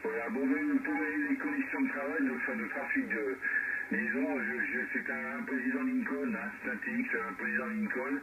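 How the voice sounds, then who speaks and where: A man's voice: medium pitch, moderate pace. Man on a very noisy, muffled radio link. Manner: hesitant, repeats words, often ends phrases with "hein". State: a little out of breath. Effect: radio transmission. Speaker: amateur radio operator. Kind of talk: casual radio contact chat. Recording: noisy muffled radio signal, distant, heavy static. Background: loud radio noise.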